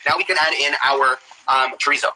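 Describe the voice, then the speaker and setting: A man's voice: medium pitch, fairly quick, sharp tone, slight background noise, rough recording. An American man giving casual, encouraging cooking instruction as a chef, often repeating himself, over a live video call.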